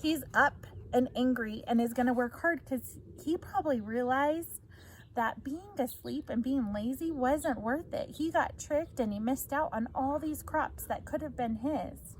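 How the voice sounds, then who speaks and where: Woman teacher, fairly high voice, slow read-aloud delivery, explanatory and questioning, slight background noise. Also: rough recording. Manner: explanatory, prompting listeners with questions. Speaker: teacher reading aloud to pupils.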